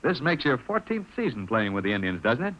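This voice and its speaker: Man, jovial radio ad interviewer, mock surprise, lively pitch, dull old broadcast. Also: brisk. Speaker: commercial interviewer.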